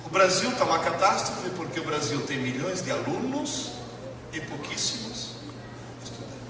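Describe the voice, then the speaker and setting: Male lecturer, very lively intonation, emphatic pauses, heavy hall reverb, clearly noisy recording. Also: on the high side. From Italy. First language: Italian. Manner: emphatic, with dramatic pauses and repetition. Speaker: professor giving a lecture. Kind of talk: motivational educational lecture to a live audience. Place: large lecture hall with an audience. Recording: distant mic, echoey noisy hall.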